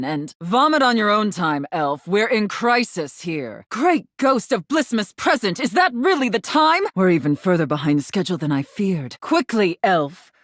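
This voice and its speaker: A stern, imperious workshop boss playing Santa in a theatrical video game style. The voice sits on the low side and swings widely in pitch. Each order is measured and haughty, scolding and clearly in charge. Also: female voice, dry recording, clean studio sound. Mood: sultry, then urgent, bossy. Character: stern, domineering woman playing a demanding Santa Claus boss.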